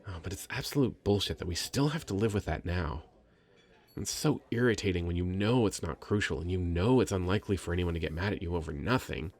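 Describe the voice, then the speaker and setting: Shy, sweet young male nerd played by a man for ASMR roleplay: low, husky, hesitant, stammering, self-conscious. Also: fairly quick, darkish tone. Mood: shy, earnest. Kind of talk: scripted ASMR roleplay, intimate conversational acting.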